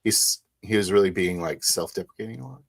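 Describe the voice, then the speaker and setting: A man chatting casually after a game, effusive and slangy, with a husky voice. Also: low voice, fairly quick, no echo. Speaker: tabletop roleplay player. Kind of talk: casual post-game chat.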